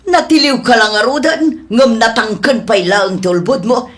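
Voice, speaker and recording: A woman playing a stern female village captain in a comedy drama, admonishing and questioning deliberately. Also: fairly high pitch, animated, natural voice. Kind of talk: Ilocano comedy audio drama acting.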